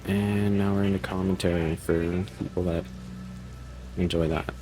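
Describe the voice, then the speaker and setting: A man's voice: sits low, little pitch movement, normal speed, background noise. A man giving casual, unscripted commentary as a channel host, in a hesitant, rambling way.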